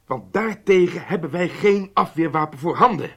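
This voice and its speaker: Husky, driven intelligence chief. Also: male voice, high voice, expressive, moderate pace, fairly bright tone, heavily acted. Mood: grave, insistent. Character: obsessed, resolute Israeli intelligence chief defending his hard line. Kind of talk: Dutch radio drama acting.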